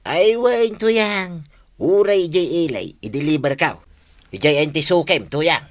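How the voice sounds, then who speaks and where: A man's voice: on the high side, expressive, slow pace. A man playing a proud, reluctant husband who comes round to helping, in an Ilocano radio comedy drama. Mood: willing, cheerful. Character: proud, reluctant husband grudgingly turning helpful.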